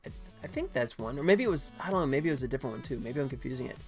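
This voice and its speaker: An American man chats casually to his livestream viewers while he works. His voice sits at an average male pitch, and his delivery is informal and conversational. Music plays faintly behind him. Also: expressive, very fast, darkish tone. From United States, Atlanta. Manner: informal, chatty, addresses viewers by name. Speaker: game developer and livestream host.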